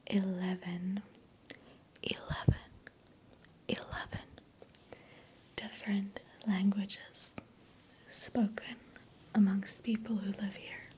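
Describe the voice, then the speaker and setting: Slow-whispering South African woman. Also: medium pitch. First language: Afrikaans. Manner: soft unvoiced whisper, gentle and unhurried. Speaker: ASMR creator. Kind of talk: whispered ASMR voiceover. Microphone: close sensitive mic, sounds moving ear to ear.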